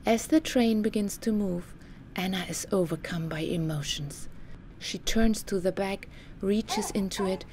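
A woman narrating a scripted documentary story in a measured, carefully enunciated way. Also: medium pitch, a little mellow, a little hiss or hum.

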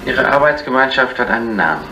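An actor playing a brisk, formal broadcast interviewer in a radio drama. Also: male voice, medium pitch, lively intonation, pushed and bright. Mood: brisk, polite. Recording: roomy, faintly noisy old broadcast.

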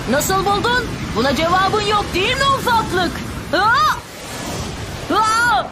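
A woman voicing a cocky, hot-tempered little boy in a cartoon dub, bratty and excitable. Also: very high voice, slow pace, a loud crowd, heavy background noise, heavily acted. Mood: indignant. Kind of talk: Turkish cartoon dub acting.

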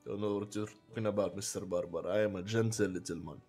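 A man's voice: low voice, fairly even intonation, fast talker, a little mellow, soft background music. A man chatting in character during a roleplay game, playful and mock-innocent. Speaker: roleplay player.

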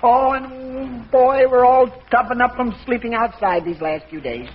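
A man playing an excitable, bumbling husband and dad, flustered and stammering, in 1940s radio comedy style. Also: very high-pitched, unhurried, husky, full character voice. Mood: sheepish, eager. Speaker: sitcom actor. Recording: noisy muffled old radio recording.

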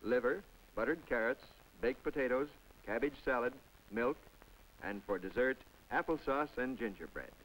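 A man's voice: medium pitch, animated, moderate pace, fairly bright tone, poor audio. A man narrating a public information film in a scripted, chatty voice-over with folksy asides to the viewer. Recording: old film soundtrack with faint music.

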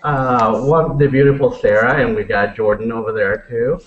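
Excited man hosting over a muffled webcam. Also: mid-range voice, normal speed, background noise. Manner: excited, joking. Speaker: show host. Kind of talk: opening a web show. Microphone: webcam video call. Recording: muffled roomy webcam audio.

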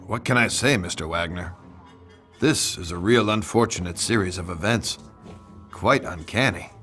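Game detective, American: dogged, matter-of-fact, tired of it all, lowish voice with a hard, bright tone. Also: male voice, normal speed, soft background music. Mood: sober, suspicious. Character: weary, dogged male police detective investigating strange deaths. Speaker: detective character and narrator. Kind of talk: noir game voice acting and scripted narration.

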